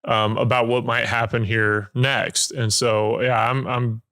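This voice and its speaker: Man, podcast host, rambling casual talk, bright tone, dry sound. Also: mid-range voice, level tone, a little slow, no echo. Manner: loose and rambling, many filler words. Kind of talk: casual interview chat.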